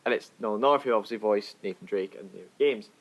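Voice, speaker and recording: A man co-hosting a podcast, chatting about films in an animated, storytelling way. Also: on the low side, quick pace, lo-fi sound. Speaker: podcast co-host. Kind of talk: casual film discussion.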